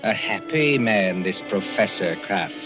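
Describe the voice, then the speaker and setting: Man, 1940s radio narrator, ominous, measured and slow, dull archive recording, music underneath. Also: lowish voice, lively intonation. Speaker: radio drama announcer and narrator.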